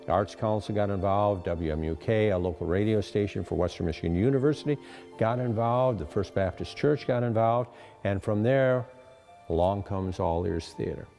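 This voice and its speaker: Older man, low mellow voice. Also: normal speed, soft background music. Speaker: radio theater director. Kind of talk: interview answers.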